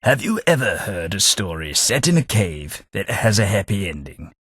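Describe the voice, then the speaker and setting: A man's voice: fairly low pitch, very animated, normal speed, fairly bright tone, slightly rough, no echo, heavily acted. A man playing a dry, sardonic gent. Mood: wry. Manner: dry, wry, pompous, sardonic. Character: sardonic posh male English companion, once a man. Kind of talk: video game voice acting.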